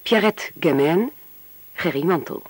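Slow, husky male radio announcer. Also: a little high, lively intonation, no room sound. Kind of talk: scripted radio credits reading.

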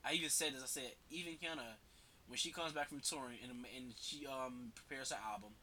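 A man's voice: mid-range voice, a little fast, bright, forceful tone, slight background noise. A man with a head cold, talking casually and rambling as a podcast host, slangy and swearing freely. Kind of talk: casual solo commentary.

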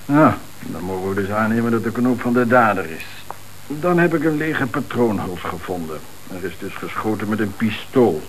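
An actor playing a precise, factual police forensic investigator in a radio play. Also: male voice, on the low side, lively intonation, normal speed, close to an everyday voice, poor audio. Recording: hissy old radio play recording.

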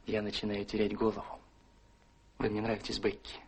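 An actor playing an impulsive, ardent young army officer in a radio play, his voice husky and breathy. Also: on the low side, completely flat delivery, fairly quick. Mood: ardent, infatuated.

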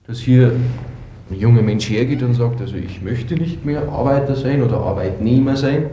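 A man giving his opinion earnestly, with emphatic, measured phrasing. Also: mid-range voice, mellow, dull audio. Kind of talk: earnest spoken opinion in an original-sound radio collage. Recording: echoey room with faint noise.

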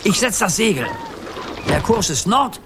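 A man's voice: high-pitched, big pitch swings, noisy background. An actor playing an old grandfather who acts as a ship's captain, humouring and nautical, in a German children's radio play. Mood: commanding, nautical. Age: older. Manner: unhurried, humouring, mock-nautical.

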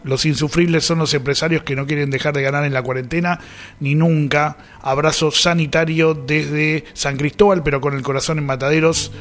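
A man's voice: a little high, fairly even intonation, moderate pace. A man with a Buenos Aires Argentine accent hosting a casual radio chat, informal and given to asides. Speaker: radio host. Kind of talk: casual radio chat, reading listener messages.

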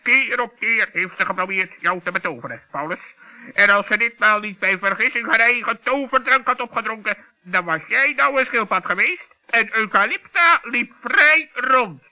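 Stern, scolding wise raven. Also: male voice, sits high, animated, moderate pace, bright, forceful tone, pitched above the performer's own voice, full character voice. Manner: scolding, grave. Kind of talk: children's radio play acting. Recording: dull old radio recording.

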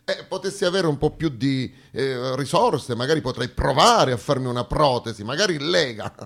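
A man's voice: medium pitch, expressive, normal speed, vocal fry, fairly bright tone, voice pushed up. A man playing the South of Italy personified as a lame, wounded underdog, plaintive, emphatic and wry in stage dialogue. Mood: plaintive, proud.

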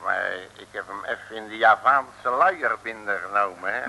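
An actor playing a cheeky, blustering detective with a Dutch accent and folksy slangy talk, in an old comic radio play. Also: male voice, fairly low pitch, moderate pace, vocal fry, pushed and bright, exaggerated voice, low-quality recording. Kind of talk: 1950s-60s comic radio play acting.

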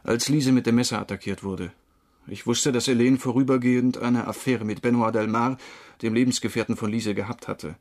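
An actor playing an earnest, knowing lover in a German radio crime drama, with a husky voice and an intent, confiding manner. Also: male voice, medium pitch, fairly even intonation, fairly quick, darkish tone, close to an everyday voice. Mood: grave, explaining. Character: tall dark-haired male lover, earnest, knowing, quietly flirtatious.

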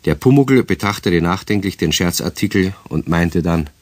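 Male narrator for radio play: low voice, lively intonation, close dry sound. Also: moderate pace, dry recording.

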